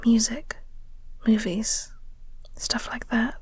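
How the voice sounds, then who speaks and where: A woman playing a flirty, teasing vampire office co-worker in an intimate audio roleplay, speaking softly and breathily with a coy, unhurried manner. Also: fairly high pitch, steady tone, no room sound, exaggerated voice. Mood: calm, soothing. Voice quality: soft, breathy, whispery. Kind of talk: intimate audio roleplay, whispered ASMR-like acting.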